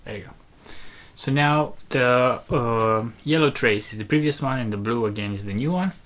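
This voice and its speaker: A presenter giving an unscripted technical demonstration, explaining step by step in a hesitant, halting way with short fragments. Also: medium pitch, a bit bright. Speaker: presenter demonstrating audio equipment.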